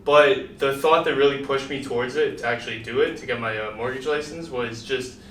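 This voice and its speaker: Relaxed young Canadian man, echoey room. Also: medium pitch, a little fast, a little hiss or hum. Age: young adult. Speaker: mortgage agent. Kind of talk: casual group chat. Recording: echoey room, mic at a distance.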